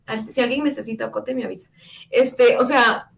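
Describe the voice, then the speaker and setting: High-voiced sarcastic woman co-host. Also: fairly even intonation, creaky, a crowd in the background. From El Salvador. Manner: sarcastic, jokey, fast-talking with restarts. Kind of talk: casual political chat.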